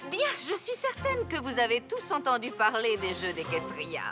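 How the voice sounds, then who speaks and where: Strict fussy cartoon teacher pony, high voice, super swoopy and pompous, music behind. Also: female voice, huge pitch swings, moderate pace. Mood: formal, flustered. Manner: formal, pompous, fussy articulation. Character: prim, stern schoolmistress pony, strict and long-winded. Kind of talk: animated cartoon voice acting. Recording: background music under the voice.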